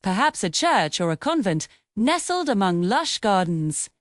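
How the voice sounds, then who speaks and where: A woman storyteller narrating a scripted fairy tale in a measured, dramatic way. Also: medium pitch, big pitch swings, no room sound, studio quality.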